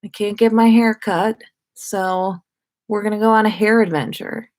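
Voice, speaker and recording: A woman's voice: mid-range voice, fairly even intonation, normal speed, darkish tone. A woman hosting a casual livestream, chatting informally and greeting her listeners. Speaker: livestream host and podcaster.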